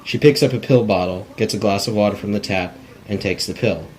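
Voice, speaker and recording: A man's voice: on the low side, fairly quick, rough recording. A man reading out screenplay stage directions as narration at an informal table read. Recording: amateur camera recording with background noise.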